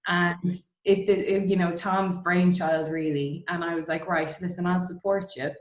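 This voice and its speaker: A woman's voice: lowish voice, fairly even intonation, normal speed. A middle-aged woman with an Irish accent chatting informally as an interview guest about her own career. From Dublin, Ireland. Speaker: interview guest, distillery co-founder. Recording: roomy, echoey sound.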